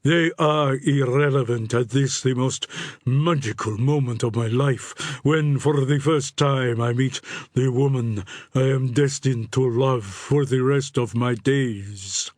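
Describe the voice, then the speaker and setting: A man voicing a suave, amorous count, speaking slowly and formally. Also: medium pitch, darkish tone, dry recording, studio quality, close to an everyday voice. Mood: earnest. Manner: slow, formal, earnest.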